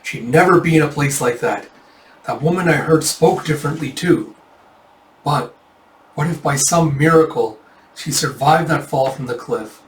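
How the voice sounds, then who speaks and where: A man's voice: a little high, normal speed, close to an everyday voice. A man voicing a melodramatic, lovesick young nobleman from a fantasy manga, exclaiming with determination. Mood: anxious brooding. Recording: echoey room, far from mic.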